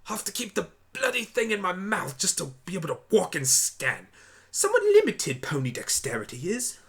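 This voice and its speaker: A man voicing an excitable, brainy male pony time traveler, wordy and theatrical, in an amateur audio drama. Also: high-pitched, moderate pace, husky, pitched above the performer's own voice, exaggerated voice. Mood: exasperated.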